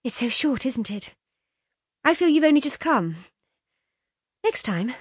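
A woman's voice: sits high, sing-song, measured pace, husky, no room sound, clean studio sound, pitched above the performer's own voice, full character voice. Woman playing a gushing, swooping young society wife. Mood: breathless, awkward. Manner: breathless, gushing, exclamatory. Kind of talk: audiobook character dialogue.

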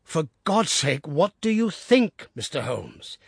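A man's voice: mid-range voice, normal speed, breathy edge, dry recording, clean studio sound, close to an everyday voice. A man voicing a big, desperate husband who pleads urgently and in anguish.

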